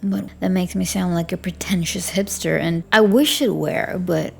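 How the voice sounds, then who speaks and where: Soft-spoken flirty woman with a gravelly voice. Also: lowish voice, normal speed. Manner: soft, breathy, flirty and teasing, often near a whisper. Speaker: audio roleplay performer. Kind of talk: scripted audio roleplay, flirty bartender.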